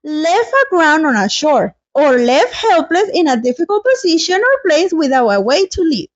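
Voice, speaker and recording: A woman's voice: high-pitched, very animated, moderate pace, dry recording, dull audio. A woman hosting a read-along English lesson, narrating and chatting in a playful, dramatic, slangy way.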